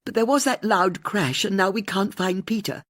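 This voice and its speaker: A woman's voice: medium pitch, moderate pace, no echo, clean studio sound, voice pushed up, close to an everyday voice. A woman voicing an emotional, distraught fiancée in a mystery audiobook, with urgent delivery. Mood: anxious.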